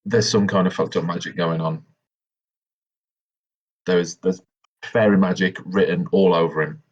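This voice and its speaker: Deep, husky man in improvised roleplay. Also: fairly even intonation, normal speed. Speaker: tabletop roleplay player. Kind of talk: improvised in-character dialogue. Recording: dull, lo-fi recording.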